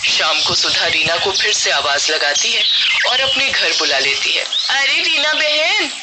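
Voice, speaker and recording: A woman's voice: low voice, animated, moderate pace. A woman storyteller reading scripted narration for a cartoon horror story. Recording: loud music under the voice.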